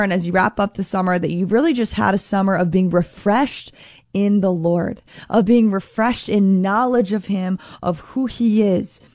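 Woman, slightly hoarse, scratchy voice, earnest faith radio host, dry close sound. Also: medium pitch, darkish tone, no room sound. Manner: earnest, upbeat, conversational. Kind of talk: prayerful scripture talk.

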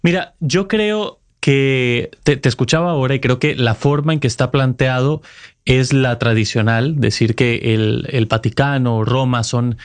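A man's voice: medium pitch, a little slow. Man, 30s, Costa Rican accent, earnest, explanatory, interview guest. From Costa Rica. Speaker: Vatican correspondent, guest. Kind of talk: reflective interview answers.

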